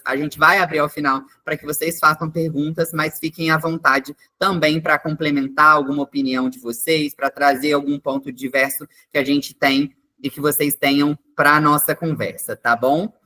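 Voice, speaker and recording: A young man from Brazil hosts an online meeting over a video call. He speaks with a bright, forceful tone. His manner is chatty, and he sprinkles his talk with tag questions and fillers. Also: fairly high pitch. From Minas Gerais, Brazil. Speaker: webinar host, experience coordinator. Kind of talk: online webinar presentation.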